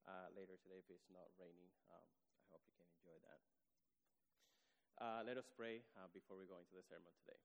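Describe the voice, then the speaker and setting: Man preaching in a reverberant church. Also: lowish voice. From Dominican Republic. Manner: hesitant, many ums and restarts. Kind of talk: sermon. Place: church sanctuary. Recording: long church hall reverb.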